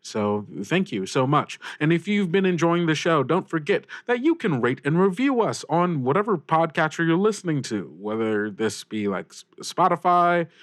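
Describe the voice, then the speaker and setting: A man's voice: medium pitch, no room sound, clean studio sound. A man hosting a podcast, giving casual announcements to listeners in an upbeat, informal, rambling way. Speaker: podcast host and creator.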